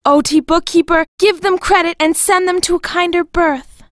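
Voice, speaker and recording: A woman playing an exhausted, wounded seafaring girl in an audiobook, grave, drowsy and halting. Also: very high-pitched, fairly even intonation, no room sound, studio quality. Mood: grave, grieving. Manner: slow, weary, halting. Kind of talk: acted audiobook dialogue.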